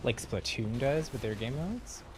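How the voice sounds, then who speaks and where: A man's voice: mid-range voice, lively intonation, measured pace, noisy background. A man chatting casually and easygoing as he comments live on the video game he is playing. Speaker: game livestreamer.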